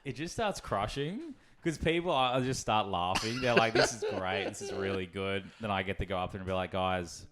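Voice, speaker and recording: A man's voice: fairly low pitch, normal speed, hardly any pauses, over a noisy crowd. A man hosting a podcast in casual chat, rambling through stories and laughing as he talks. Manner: rambling storyteller, laughs while talking. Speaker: podcast host.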